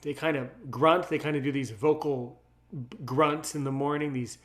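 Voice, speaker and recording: A man hosting a casual interview, speaking haltingly and often repeating his words. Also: fairly high pitch, steady tone. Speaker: podcast host.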